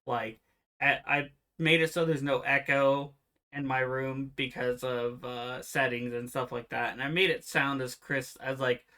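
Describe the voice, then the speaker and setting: Bright quiet-voiced man, casual podcaster. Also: medium pitch. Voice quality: naturally quiet voice. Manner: explains game rules in a relaxed, meandering way. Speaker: podcast co-host. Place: home room with fan noise removed by software.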